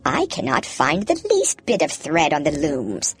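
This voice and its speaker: A woman's voice: deep voice, huge pitch swings, a little slow, pitched below the performer's own voice, heavily acted. A woman voicing a faithful old wise man, an anxious royal adviser in a storybook, fretful and self-questioning. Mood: baffled. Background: background music.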